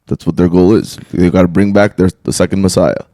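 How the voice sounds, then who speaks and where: A man's voice: sits low, steady tone, brisk, dark tone, no echo, clean studio sound. A man with a Southern accent hosting a podcast, blunt and opinionated, often cutting in over the others. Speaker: podcast host. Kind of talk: casual podcast chat.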